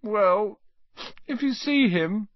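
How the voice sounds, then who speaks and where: A man's voice: high-pitched, animated, slow, no room sound, dull audio, pitched above the performer's own voice. A man voicing a miserable, anxious young boy in a plaintive, tearful manner for a children's audiobook. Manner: wailing, plaintive.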